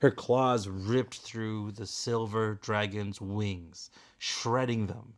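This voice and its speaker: Slow-paced man reading a dramatic story. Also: fairly low pitch, fairly even intonation. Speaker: narrator, father reading aloud. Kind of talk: dramatic storybook read-aloud narration.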